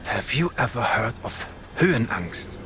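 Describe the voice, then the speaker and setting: An actor playing a fanatical, sneering Nazi officer in a video game, theatrical and grandiose, with a German accent. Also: male voice, medium pitch, sing-song, measured pace, music in the background. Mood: fervent contempt. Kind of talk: cinematic video game villain acting.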